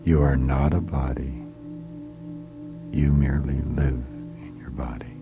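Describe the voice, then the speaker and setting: Male relaxation guide, very low voice, slow hushed delivery with whispered stretches, music underneath. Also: little pitch movement, creaky. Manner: soft, hushed, often sliding into a whisper; slow, soothing, repetitive commands.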